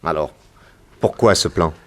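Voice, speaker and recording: An actor playing a composed, probing private detective in a French radio drama, questioning in a measured, deliberate and authoritative way. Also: male voice, low voice, a bit bright.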